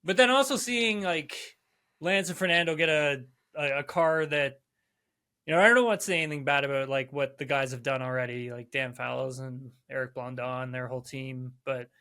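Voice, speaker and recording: A man in his thirties, a former racing driver, chatting casually as podcast co-host over a video call, animated and full of "like" and "right?". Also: medium pitch, smooth. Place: indoor room. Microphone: headphones, video call.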